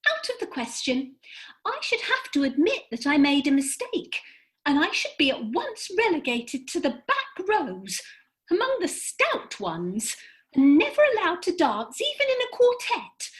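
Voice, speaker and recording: Haughty high-pitched fairy played by a woman, wildly swooping. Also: wildly swooping pitch, a little slow, heavily acted, poor audio. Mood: haughty, dismissive. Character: haughty, easily annoyed young female good fairy. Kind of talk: comic Victorian stage play acting.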